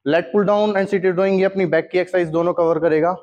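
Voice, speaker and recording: Indian man, gym equipment seller, product demo, high-pitched, dull lo-fi sound. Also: steady tone, a little fast, darkish tone. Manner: explanatory, Hindi mixed with English terms. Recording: long hall reverb, dull lo-fi sound.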